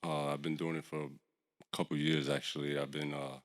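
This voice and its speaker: A man from Chicago, a personal chef, talking casually and a little haltingly as a podcast guest. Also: very deep voice, level tone. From Chicago, United States. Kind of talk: casual interview talk.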